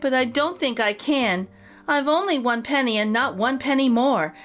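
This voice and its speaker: A woman's voice: sits high, moderate pace, a bit bright, soft background music. A woman voicing an earnest, polite young boy in a children's storybook, with a plain-spoken, wide-eyed manner.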